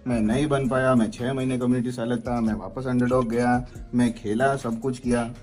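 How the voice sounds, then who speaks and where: A man's voice: medium pitch, level tone, moderate pace, a little mellow, faint music behind. A man in his thirties, an esports player, talking bluntly and heatedly into a headset mic from a small room, repeating himself to press his points. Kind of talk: livestream talk and call recording. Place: small room, sitting in a gaming chair.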